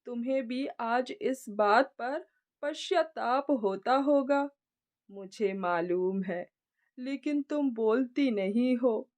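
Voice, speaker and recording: A woman voicing an aggrieved older father in a storybook tale, with a drawn-out, lamenting delivery. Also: a little high, darkish tone. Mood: regretful, reproachful. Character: aggrieved older father, proud then bitterly disappointed. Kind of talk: acted dialogue in a moral storybook tale.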